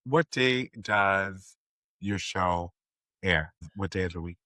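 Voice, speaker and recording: A man's voice: sits low, takes it slowly, pushed and bright, dry recording, studio quality. An American man interviewing a guest casually as a podcast host.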